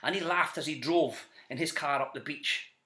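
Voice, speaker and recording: A Scottish man reading a rhyming children's story aloud in an animated storyteller style. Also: a little high, moderate pace. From Scotland. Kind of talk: animated storybook narration in rhyme.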